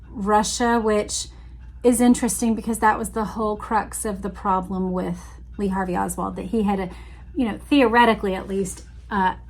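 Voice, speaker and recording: A woman hosting a casual read-along, commenting conversationally and musingly as she reads aloud and talks to her viewers. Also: fairly high pitch. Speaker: host reading and commenting on an old magazine.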